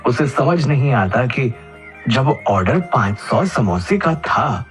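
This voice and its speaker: A man's voice: mid-range voice, sing-song, takes it slowly. A man narrating a scripted cartoon moral story. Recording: faint background music under the voice.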